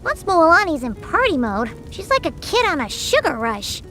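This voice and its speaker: Squeaky, cheeky little companion creature. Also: female voice, big pitch swings, normal speed, sharp tone, heavily acted. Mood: chirpy. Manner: chirpy, cheeky. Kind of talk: anime-style game voice acting. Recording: music behind the dialogue.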